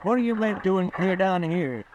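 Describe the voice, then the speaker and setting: A man's voice: high voice, lively intonation, normal speed, muffled recording, background noise. A man playing an irritable, bossy rancher who scolds in folksy western talk in an old-time radio drama. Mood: irritated.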